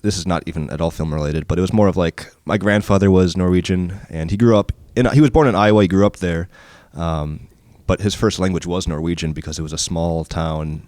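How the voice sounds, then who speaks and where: A man with a very deep, low-pitched voice talks casually, wandering from point to point with self-deprecating asides. The sound is dry and close, with no room around it. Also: a little fast, hardly any pauses, darkish tone, no room sound. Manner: rambling, self-deprecating, many fillers and restarts. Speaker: guest. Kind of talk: casual chat.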